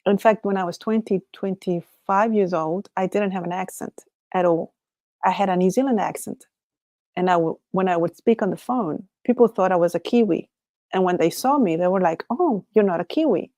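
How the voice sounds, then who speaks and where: Woman with a Chilean Spanish accent, musing livestream host, rambling, laughs often, dry close sound, mid pitch. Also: moderate pace, mellow, dry recording. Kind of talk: casual solo livestream chat.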